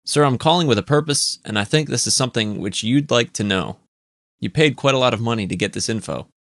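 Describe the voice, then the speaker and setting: A man's voice: lowish voice, moderate pace, no room sound, clean studio sound, close to an everyday voice. A man voicing a humorless, foreign-accented male informant who speaks stiffly and formally.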